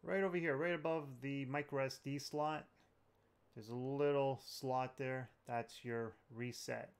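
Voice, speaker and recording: A man's voice: mid-range voice, fairly even intonation, a little slow, smooth. A man hosting a tech tutorial, talking viewers through steps in an informal, plain-spoken way. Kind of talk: casual step-by-step tutorial narration.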